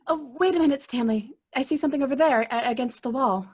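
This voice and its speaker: A woman's voice: sits high, fast, a little mellow, husky. An actress playing a nervous, easily frightened female accomplice in an old-time radio drama, anxious and melodramatic. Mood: uneasy.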